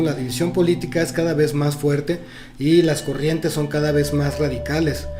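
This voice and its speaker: A man, co-hosting a casual panel talk and explaining ideas earnestly. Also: fairly high pitch. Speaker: podcast co-host.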